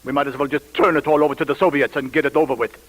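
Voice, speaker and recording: An actor playing an agitated, despairing senior government adviser in a radio drama, ranting emphatically. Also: male voice, mid-range voice, fairly even intonation, rapid-fire, a bit bright, close to an everyday voice. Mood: exasperated.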